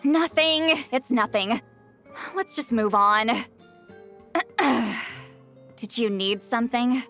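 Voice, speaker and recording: An actress voicing a proud former commander who is guarded, wry and quick to get flustered, in video game dialogue. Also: female voice, fairly high pitch, bright, forceful tone. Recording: game audio over faint music.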